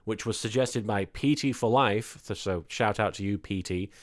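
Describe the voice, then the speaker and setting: A man's voice: on the low side, moderate pace. A man reading a comic story aloud as presenter, with mock-grandiose dramatic narration. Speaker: presenter and reader of comic fan fiction.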